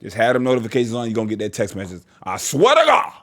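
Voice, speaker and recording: A man's voice: mid-range voice, racing. A man hosting a reaction video, joking and swearing in casual commentary. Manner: joking, animated, profane.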